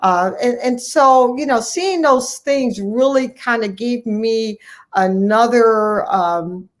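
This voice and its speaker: A woman's voice: a little high, takes it slowly. A middle-aged American woman speaking as an interview guest, telling personal stories in a conversational way. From Cleveland, Ohio, United States. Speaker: interview guest, family physician.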